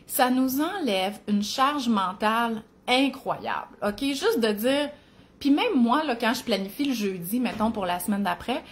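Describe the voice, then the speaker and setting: A woman's voice: fairly high pitch, lively intonation, unhurried. A woman in her forties with a Quebec French accent, chatting casually to camera as a mom and nutrition author, talking in an easy flow with tag questions and little laughs. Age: 40s.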